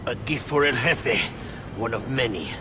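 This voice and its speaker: A man's voice: mid-range voice, moderate pace, natural voice. An actor playing a tight-lipped, defiant henchman of a crime boss in a video game, speaking tersely. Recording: loud music over the voice.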